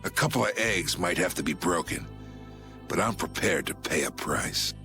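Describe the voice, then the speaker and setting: A man voicing a gruff, commanding old guild boss in a video game, folksy, drawling and stubborn, with a gravelly, husky voice. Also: deep voice, expressive, normal speed, music in the background. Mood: grimly resolved. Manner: folksy, drawling, plain-spoken. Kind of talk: video game dub acting.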